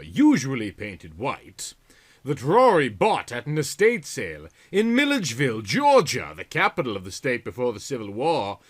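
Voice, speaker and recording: Animated, bright-voiced man reading melodramatically. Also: medium pitch. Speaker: audiobook reader. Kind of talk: theatrical comic reading of a novel chapter.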